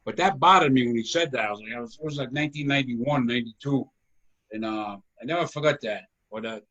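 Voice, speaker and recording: Loud Rhode Island man with a bright voice. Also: medium pitch, poor audio. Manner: loud, projecting, laughs easily. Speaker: comedy show host. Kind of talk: casual video chat. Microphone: video call.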